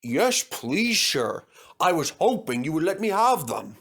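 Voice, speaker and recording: A man voicing a kindly, very old male steam engine in a storybook reading, slow and gentle. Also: on the high side, big pitch swings, breathy edge, full character voice. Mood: fond.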